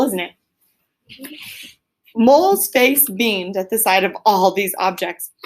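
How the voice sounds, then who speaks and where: A woman reading a storybook aloud as a teacher to children, explaining as she goes and prompting with questions. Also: mid-range voice.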